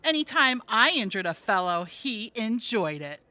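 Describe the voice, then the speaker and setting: Brassy, sarcastic streetwalker, high-pitched. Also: female voice, sing-song, moderate pace, sharp tone, no room sound. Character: brassy, sarcastic streetwise woman prostitute, jaded jail regular. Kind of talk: audio theatre comedy acting.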